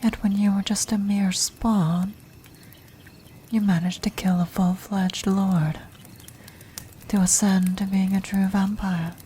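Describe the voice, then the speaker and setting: A woman voicing an ancient, commanding vampire matriarch in a whispered ASMR fantasy roleplay, refined, breathy and formal. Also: mid-range voice, level tone, warm tone, slight background noise, lightly acted. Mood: poised, gracious. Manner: formal, measured, aristocratic.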